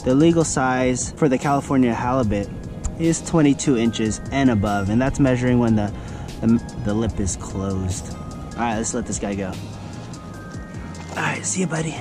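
A man's voice: medium pitch, normal speed. A man in his thirties, presenting an instructional vlog in a casual, step-by-step manner. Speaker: fishing vlogger presenting a tutorial. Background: background music.

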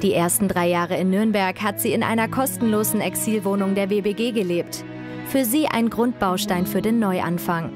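Woman narrator, smooth scripted voice-over, music clearly underneath. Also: medium pitch, moderate pace.

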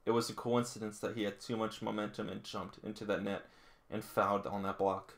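A man's voice: on the low side, level tone, fairly quick, vocal fry. Man reading aloud, stumbling now and then. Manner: stumbles and rereads lines, chuckles at the story. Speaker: manga read-along host. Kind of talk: reading manga aloud with casual commentary. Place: small indoor room with figurines.

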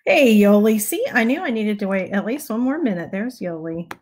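A middle-aged woman with a Texan accent and a raspy voice, casually greeting viewers on a home livestream, often clearing her throat. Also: medium pitch, normal speed. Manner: relaxed, clears throat often, chuckles softly. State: raspy voice from little sleep. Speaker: prayer livestream host. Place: home study with bookshelves. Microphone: clip-on lapel mic, webcam.

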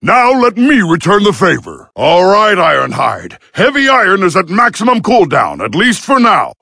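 A gruff robot field medic from a war video game. The voice is husky and gravelly, recorded dry and close. He gives orders and battle reports with urgency and a dry, sardonic edge. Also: high-pitched, huge pitch swings, moderate pace, bright, forceful tone, no echo, full character voice. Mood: focused, commanding.

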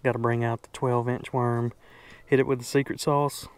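A man's voice: mid-range voice, flat delivery, moderate pace, smooth, no room sound. A man chatting casually to camera as a folksy fishing vlog host, out on a small boat. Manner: folksy, addresses viewers as folks. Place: outdoors on a small boat on a lake. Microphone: action camera worn on or near the body. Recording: outdoor noise on action camera.

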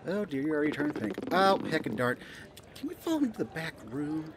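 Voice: mid-range voice, very animated, brisk, noisy background, lightly acted. An amateur actor voicing a flirty, motherly pink balloon elephant who serves at a soda bar, with a folksy, coaxing manner in an audio play. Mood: flustered, reassuring. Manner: folksy, coaxing, calls listener sweetie and dear. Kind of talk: amateur audio play, second-person roleplay monologue.

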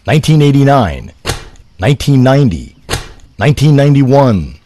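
A man reading a scripted story in a literary, deliberate storytelling manner. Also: mid-range voice, big pitch swings, mellow, a little hiss or hum.

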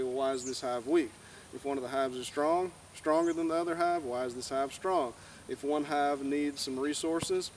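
A young man giving an informal, plain-spoken, folksy instructional talk to camera outdoors. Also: fairly high pitch. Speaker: beekeeper giving a tutorial. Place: outdoors in a grassy clearing by woods. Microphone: far camera. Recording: distant camera mic, outdoor background noise.

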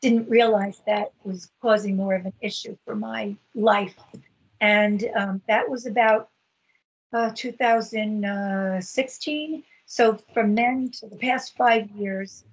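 Husky-voiced woman on a video call. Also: mid-range voice, steady tone. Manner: earnest, halting, many fillers. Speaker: patient advocate. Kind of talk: personal story in a video meeting. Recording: dull lo-fi video call audio.

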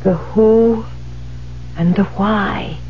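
An actress in a 1970s radio drama playing a cold, unhinged woman writer who killed her husband, speaking deliberately and dramatically. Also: mid-range voice, steady tone. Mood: insistent. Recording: dull, noisy 1970s radio recording with hum.

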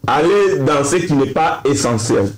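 A Congolese man makes his case on a televised panel. His voice is fairly high for a man, with strong room echo on it. He is earnest and deliberate, building his argument with rhetorical questions. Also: expressive, crowd noise. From Democratic Republic of the Congo. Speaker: political debate panelist. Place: TV studio round table. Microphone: desk microphone. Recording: echoey studio sound.

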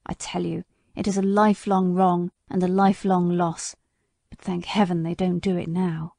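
A woman's voice: little pitch movement, gravelly, mellow, no room sound, studio quality. A woman doing an old male horse, grave and bitter. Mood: indignant. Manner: slow, low, grave delivery. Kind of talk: audiobook character voice.

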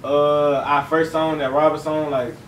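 A man's voice: a little high, flat delivery, unhurried. A young man from Fort Worth, talking loosely and full of slang as a guest in a casual interview. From Fort Worth, Texas. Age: young adult. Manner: slangy, loose, rambling with fillers. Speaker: rapper guest. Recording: roomy, distant, faint hiss.

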